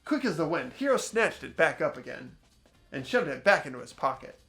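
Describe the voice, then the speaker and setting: A young man hosting a game playthrough, switching between expressive scripted narration and casual commentary. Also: fairly high pitch, a little fast, creaky. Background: soft game music.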